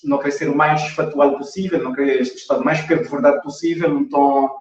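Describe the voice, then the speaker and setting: A man with a slightly high voice talks informally as an interview guest. He sounds set back from the microphone in an echoey room, with a somewhat muffled online-call sound. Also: steady tone, fairly quick, a little mellow. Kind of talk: casual interview talk. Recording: muffled, roomy, distant mic on stream.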